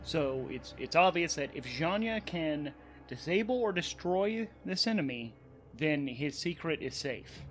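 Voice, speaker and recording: Higher-voiced man narrating a tabletop game. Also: expressive, a little slow, a bit bright. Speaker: host, narrator and game master. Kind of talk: solo tabletop game narration, thinking aloud. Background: background music.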